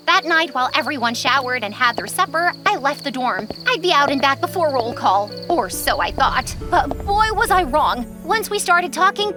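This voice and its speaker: A young woman narrating her own cartoon story in a chatty, playful, sarcastic way. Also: very high-pitched. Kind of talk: scripted first-person cartoon narration. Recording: narration over music.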